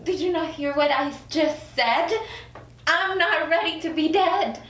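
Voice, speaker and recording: A woman's voice: sits high, unhurried, fairly bright tone. A woman playing a sceptical, questioning newly dead woman at a script table read. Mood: defiant. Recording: echoey room, distant mic.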